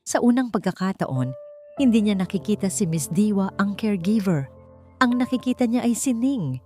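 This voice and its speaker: A woman narrating a dramatic story as an audiobook, in measured, emotive short phrases. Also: medium pitch, warm tone.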